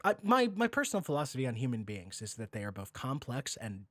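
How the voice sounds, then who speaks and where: A man hosting a casual podcast, talking in an animated, rambling way and swearing freely. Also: mid-range voice, fast, dry recording, clean studio sound. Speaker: podcast host.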